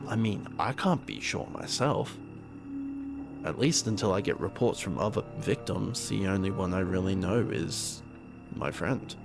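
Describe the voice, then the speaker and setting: A man's voice: low-pitched, creaky. A man playing a haunted, paranoid conspiracy theorist, rambling uneasily and confidingly in an audio drama taped diary. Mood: grim, determined.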